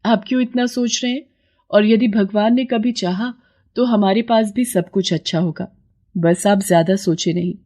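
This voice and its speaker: Woman acting mellow, soothing village wife. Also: mid-range voice, normal speed, close to an everyday voice. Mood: reassuring. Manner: soothing, gentle. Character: poor kind village wife, patient and reassuring.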